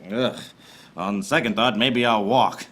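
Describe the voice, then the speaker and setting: A man's voice: fairly low pitch, a little slow. A man playing a wry, weary hard-boiled detective, narrating a film noir radio comedy on stage. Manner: deadpan wordplay, theatrical. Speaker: lead actor in live comedy radio serial. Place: small theater stage, live audience. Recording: noisy live stage recording with clicks.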